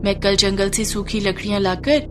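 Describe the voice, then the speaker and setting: A woman's voice: mid-range voice, flat delivery, normal speed, over music, lightly acted. A woman voicing a dutiful, caring ten-year-old village girl in a story, speaking earnestly. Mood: reassuring.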